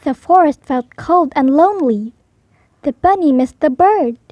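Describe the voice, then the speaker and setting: A woman's voice: sits high, animated, measured pace, no echo, highs cut off. A woman narrating a children's storybook as a read-aloud.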